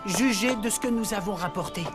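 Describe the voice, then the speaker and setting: An actor playing an earnest, modest young prince in a fairy-tale cartoon, speaking politely. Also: male voice, high voice, a little fast, loud music behind the voice, lightly acted. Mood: earnest concern.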